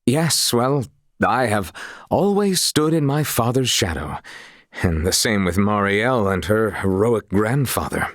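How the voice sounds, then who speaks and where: An actor playing an earnest, courtly young sailor and swordsman of noble family in a video game, speaking in formal, old-fashioned phrasing with a husky voice. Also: on the low side, big pitch swings, measured pace, no room sound, clean studio sound. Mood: candid, thoughtful.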